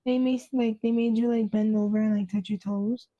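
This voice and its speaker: A woman's voice: a little high, fairly even intonation, a little fast, dark tone, highs cut off. A woman in her late twenties with a youthful-sounding voice, chatting casually and dryly as a podcast co-host. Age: late 20s.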